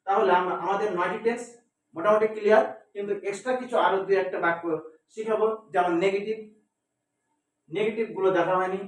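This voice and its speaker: A man teaching English grammar step by step in a didactic way, asking and answering his own questions and repeating key words. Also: high voice. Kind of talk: step-by-step classroom lesson. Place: echoey indoor room. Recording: very echoey room, distant microphone.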